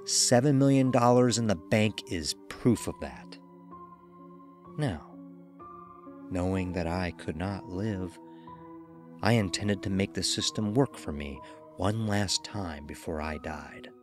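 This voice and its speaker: A man narrating a horror story in the first person with deliberate, dramatic delivery. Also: deep voice, music in the background.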